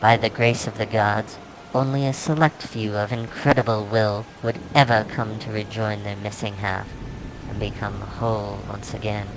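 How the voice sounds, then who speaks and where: A man reading his own fantasy novel aloud as a dramatic, solemn, measured narration. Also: on the low side, flat delivery, noisy background. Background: music soundtrack.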